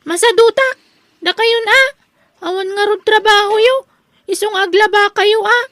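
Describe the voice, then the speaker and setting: A woman's voice: very high-pitched, normal speed, fairly bright tone, no room sound, clean studio sound. A woman playing an insolent, lazy young woman who whines about chores in an Ilocano comedy drama. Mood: whiny, defiant.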